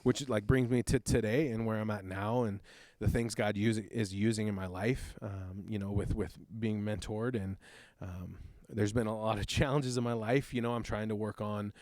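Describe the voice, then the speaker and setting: Earnest low-voiced man in his thirties. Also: fairly even intonation, a little mellow, no echo. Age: 30s. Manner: earnest, reflective, halting speech. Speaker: youth pastor sharing his testimony. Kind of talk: personal testimony in a conversation.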